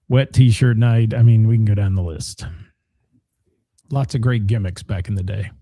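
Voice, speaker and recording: Radio co-host, hoarse, very dark husky tone, dry studio sound. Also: male voice, lowish voice, fairly even intonation, measured pace, dry recording. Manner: joking, rambling, with restarts. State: losing his voice. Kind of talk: casual on-air chat, reading listener comments.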